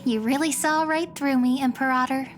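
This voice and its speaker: A woman playing a poised, gently persuasive female guide in a video game, with a poetic, composed way of speaking. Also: high voice, fairly even intonation, normal speed, natural voice. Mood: earnest, coaxing. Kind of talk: dramatic video game voice acting. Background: music.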